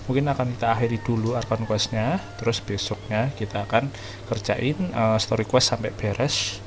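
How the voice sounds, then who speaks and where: A man's voice: medium pitch, fairly even intonation, a little fast, background noise. Young Indonesian man casually commentating on a game. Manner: informal, chatty, thinking aloud. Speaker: gaming streamer. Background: game music and dialogue.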